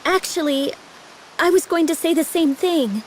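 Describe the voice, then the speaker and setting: High-voiced earnest young heroine. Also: female voice, normal speed, slight background noise, rough recording. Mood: hesitant. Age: young adult. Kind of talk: video game cutscene voice acting.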